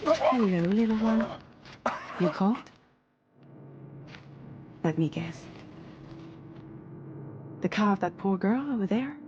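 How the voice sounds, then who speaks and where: A woman's voice: medium pitch, lively intonation, takes it slowly, pauses often, highs cut off, noisy background. An actress voicing a self-assured, kindly woman recruiter in a cinematic video game, with a coolly teasing, conversational delivery. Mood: gentle, curious. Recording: loud cinematic music behind.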